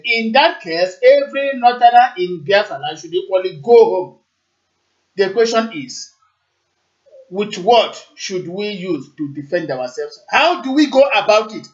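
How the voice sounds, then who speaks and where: A man hosting a live call-in radio stream, politely taking callers and switching between Igbo and English. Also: sits high. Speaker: radio host. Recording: muffled lo-fi stream.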